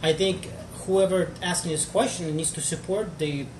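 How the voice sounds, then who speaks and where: A man's voice: high-pitched, normal speed. A man from Ukraine, a guest on a casual stream, talking hesitantly and repeating words as he goes. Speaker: guest, car owner. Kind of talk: casual chat. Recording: echoey room with background noise.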